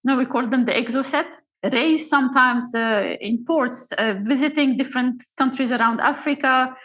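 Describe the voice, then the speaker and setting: A middle-aged woman speaks as a podcast guest, her plain in every phrase. Her voice sits a little high, and she talks haltingly, stopping on fillers and starting her sentences again as she tells her stories. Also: fairly bright tone. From Algeria. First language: Arabic. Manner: halting, with frequent fillers and restarts. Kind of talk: casual storytelling interview.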